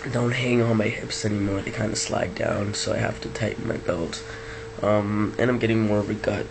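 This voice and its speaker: A man talking casually to his camera in a relaxed, rambling way with many ums, sounding like he just woke up. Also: fairly low pitch, gravelly. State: just woke up, low-key. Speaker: vlogger. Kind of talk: casual video diary. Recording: noisy background hiss.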